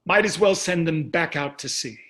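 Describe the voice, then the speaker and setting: A man's voice: fairly high pitch, normal speed, a bit bright, lightly acted. A man playing a smug, callous wealthy husband in a staged play reading, sardonic and condescending. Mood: dismissive, cold.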